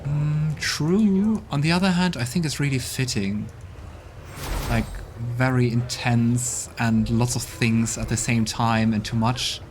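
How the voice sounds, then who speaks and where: A man's voice: mid-range voice, a little slow, a little hiss or hum. A man hosting a role-playing game let's play, chatting casually and musing aloud as he plays. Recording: game music playing behind.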